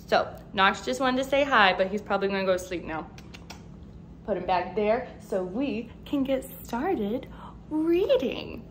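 A woman in her thirties, a teacher, reading a picture book aloud to young children in an expressive, animated way. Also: medium pitch, a little hiss or hum. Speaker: second grade teacher. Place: living room at home, indoors.

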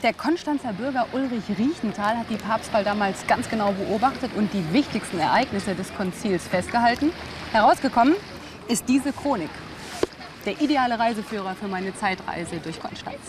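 A woman narrating a documentary and interviewing, measured and clear. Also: mid-range voice, animated, noisy background. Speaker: documentary presenter. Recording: music bed under voice.

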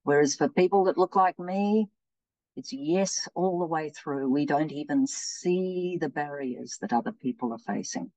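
A woman's voice: low-pitched, measured pace, warm tone, no echo, poor audio. Woman leading an online workshop. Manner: encouraging, reads out chat replies. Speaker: anti-racism facilitator. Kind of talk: interactive webinar teaching. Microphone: video call.

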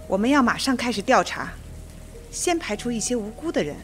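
A woman's voice: on the high side, very animated, fairly quick, faint music behind. Shrewd, composed judge played by a woman. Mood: cool, commanding. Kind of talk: Mandarin radio drama acting.

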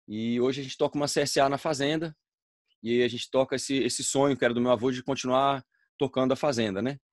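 A man's voice: mid-range voice, fairly even intonation, quick pace, dry recording. A Brazilian man speaks casually on a video call, hesitant and full of fillers. His mid-range voice sounds dry and close to the mic. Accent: Brazilian Portuguese. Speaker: panelist. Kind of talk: casual self-introduction on a web seminar.

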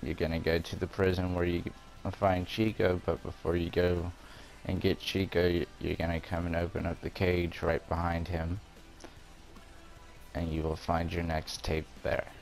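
A man's voice: low voice, little pitch movement, normal speed, a little mellow, soft background music. A man giving a casual video game walkthrough, explaining things step by step in an informal way. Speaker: gamer presenting a walkthrough.

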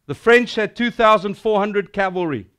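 A middle-aged man with a South African accent preaching a sermon, animated and declamatory as he tells a battle story and recites verse. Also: high voice, moderate pace, clear, resonant tone. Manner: animated and declamatory, gesturing widely.

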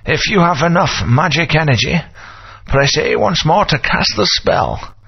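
An actor playing a frail, kindly old wizard with a very hoarse, gravelly voice. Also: male voice, a little high, lively intonation, measured pace, full character voice. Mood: weary. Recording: dull lo-fi game audio.

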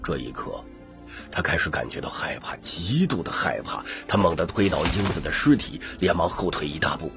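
A man's voice: low voice, big pitch swings, moderate pace, fairly bright tone, soft background music. A man reading dramatic scripted narration as an audiobook narrator.

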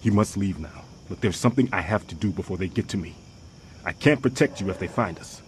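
An actor playing a tough but anxious industrial firefighter in a video game, urgent and plain-spoken. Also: male voice, deep voice, expressive, a little fast. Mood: hushed, urgent. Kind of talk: video game cutscene acting. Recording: loud background roar and ambient noise.

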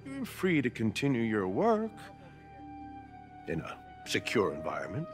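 An actor playing a smug, powerful middle-aged mayor in a video game, patronizing and falsely jovial. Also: male voice, medium pitch, wildly swooping pitch, unhurried. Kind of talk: video game cinematic acting. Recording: music behind the voice.

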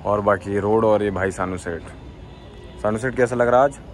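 A man's voice: on the low side, moderate pace. A young man casually narrating a vlog in informal, slangy speech at a cafe. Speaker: vlogger. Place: cafe with terrace. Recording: loud music over the voice. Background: loud cafe music.

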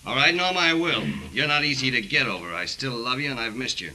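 An actor playing a jealous, scheming former lover, intense and insinuating, in 1940s radio drama style. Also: male voice, medium pitch, quick pace, pushed and bright, low-quality recording. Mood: bitter, insinuating.

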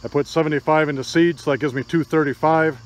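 A man's voice: on the high side, steady tone, fast, slight background noise. An older man from Michigan giving plain, practical how-to narration while walking a rural field with a phone camera. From Michigan, USA. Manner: plain, practical, matter-of-fact explaining. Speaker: DIY hunting vlogger. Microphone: phone camera held while walking. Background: crickets and insects.

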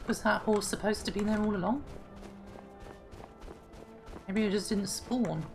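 A woman hosting a casual let's-play, chatting and thinking aloud, her voice slightly hoarse. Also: medium pitch, measured pace, a little mellow. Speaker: gaming channel host. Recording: clear game music behind.

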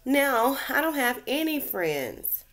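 A small, lonely young female remora, put on by a woman reading to children, with a raised, soft and tearful voice. She speaks slowly, in the gentle way of a storybook character. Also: on the high side, animated, voice pushed up.

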